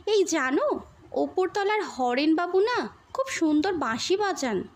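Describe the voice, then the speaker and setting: A woman voicing an affectionate, girlish young newlywed wife, quick to huff, in an audio story. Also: very high-pitched, sing-song, voice pushed up, exaggerated voice. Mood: excited.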